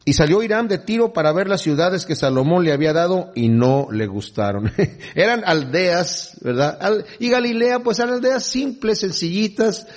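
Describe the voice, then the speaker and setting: A man's voice: high voice, expressive, moderate pace. A man preaching a Bible lesson who reads scripture aloud and then explains it in conversational asides. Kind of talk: Bible teaching sermon.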